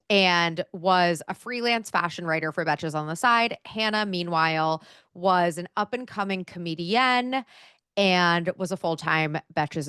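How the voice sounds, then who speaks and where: A woman's voice: fairly low pitch, fairly bright tone, clear, resonant tone, no room sound, clean studio sound. A woman in her thirties co-hosting a podcast, chatting casually and reading through research notes with plenty of "um" and "like". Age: 30s. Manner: chatty, filler-heavy, with frequent "um" and "like". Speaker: podcast co-host.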